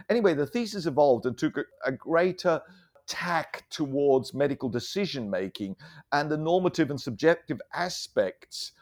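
A man's voice: medium pitch, a little mellow. A middle-aged man from Germany, a physician, talking reflectively and conversationally as an interview guest, explaining things carefully and often repeating words. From German, raised abroad. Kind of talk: conversational life-story interview.

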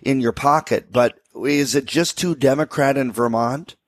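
A man's voice: medium pitch, moderate pace, no room sound. A man hosting a political talk radio show, conversational and opinionated, who asks long, winding questions. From Alaska.

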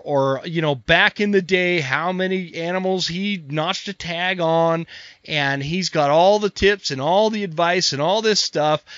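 A man in his forties hosts a casual podcast chat, pressing his points with emphasis and frequent repeats. His voice sits a little high for a man. The recording is dry and somewhat dull. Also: fairly bright tone, no echo. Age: 40s. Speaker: podcast host. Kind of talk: casual conversation.

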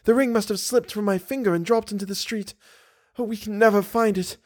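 Guy doing an anxious young lady singer from a storybook, high voice, breathy drama, pleading and scared. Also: steady tone, brisk, darkish tone, dry recording, studio quality. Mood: fretful.

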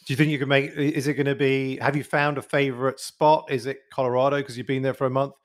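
A man's voice: medium pitch, little pitch movement, quick pace. A man hosting a podcast interview, chatty and rambling with many fillers. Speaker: podcast host. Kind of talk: casual interview chat.

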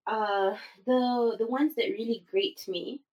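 A woman from Singapore talking as a guest in a casual interview, animated and full of fillers and restarts. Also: a little high, takes it slowly, dull audio. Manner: animated, many fillers and restarts, laughs often.

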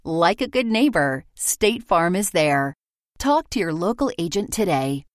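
A woman reading a scripted sponsor ad in an even, friendly sales tone. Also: lowish voice, unhurried, no echo, studio quality. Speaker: ad reader.

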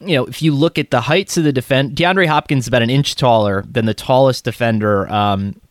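A man's voice: mid-range voice, a little fast, no echo, studio quality. A man co-hosting a sports podcast, talking loosely and rambling through his thoughts. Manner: rambling, many fillers and restarts. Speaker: podcast co-host. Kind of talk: casual sports podcast chat.

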